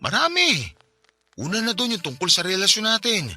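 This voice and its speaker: High, pleading remorseful fiancé, Filipino accent. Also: male voice, wildly swooping pitch, normal speed, pushed and bright, dry recording, soft background music, full character voice. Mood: insistent. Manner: pleading, insistent, apologetic. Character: remorseful, pleading young cheating fiancé begging forgiveness. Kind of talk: Filipino radio drama acting.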